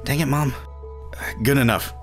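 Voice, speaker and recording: A man voicing an earnest, awkward, stammering young man in an animated fan series. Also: mid-range voice, normal speed, close to an everyday voice. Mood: awkward, flustered. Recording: background music under the voice.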